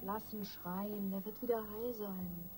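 An actress plays a brusque young woman in a radio crime play. Her voice sits at a middle pitch, and she talks in a curt, slangy way, impatient and dismissive. Music is clearly heard behind her. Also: steady tone, slow pace, lightly acted. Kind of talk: German radio crime drama acting.

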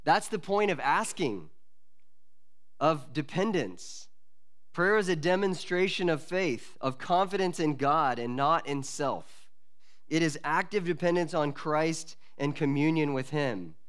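A man in his forties, a pastor, preaching an expository sermon from a lectern mic in a church, earnest and measured with pauses and restarts. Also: a little high, lively intonation, a bit bright. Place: church sanctuary at a wooden lectern. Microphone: lectern microphone.

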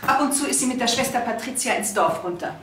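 An actress playing a devout mother superior, speaking in a measured, pious way. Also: fairly high pitch, animated, lightly acted. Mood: matter-of-fact. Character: devout, solemn female convent mother superior. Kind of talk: TV crime comedy acting. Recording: echoey room, noisy background.

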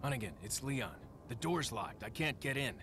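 An actor playing a cool, dry young government agent in a video game, terse and matter-of-fact. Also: male voice, medium pitch, animated, normal speed, natural voice. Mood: cool, focused. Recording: quiet game audio with noise.

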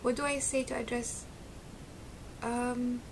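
A woman's voice: on the high side, level tone, takes it slowly, noisy background. Bubbly young woman, slight Arabic accent. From Syria. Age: young adult. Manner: chatty and giggly, with frequent ums. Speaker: beauty vlogger. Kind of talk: casual chat to camera. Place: small bedroom at home, indoors. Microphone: close webcam, seated facing camera.

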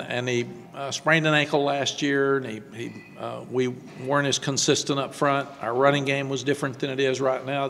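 Gravelly older coach at a press podium. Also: male voice, mid-range voice, fairly bright tone, long reverb tail, slight background noise. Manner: halting, repeats words, then quick emphatic runs. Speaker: head football coach. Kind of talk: postgame press conference answers. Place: press room before a sponsor backdrop. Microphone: desk microphone on stand.

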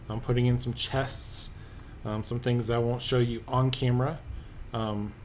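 A man's voice: medium pitch, slight background noise. Rambling man narrating his gameplay. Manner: relaxed, rambling, thinks aloud with many ums. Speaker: let's play host. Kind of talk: casual game commentary. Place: at a computer, voice-over of gameplay.

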